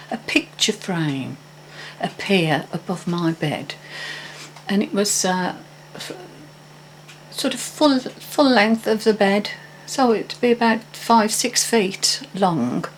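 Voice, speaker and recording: A woman's voice: mid-range voice, fairly bright tone, slight background noise, poor audio. An older woman telling a personal story in a casual, hesitant, meandering way with frequent restarts. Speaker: vlogger.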